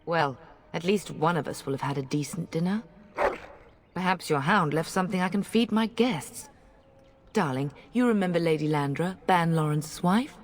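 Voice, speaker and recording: A woman's voice: on the low side, normal speed, a little hiss or hum, close to an everyday voice. An actress playing a poised, wryly commanding noblewoman and mother in a fantasy game. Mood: wry, gracious. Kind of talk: scripted game voice acting. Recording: music under the voice.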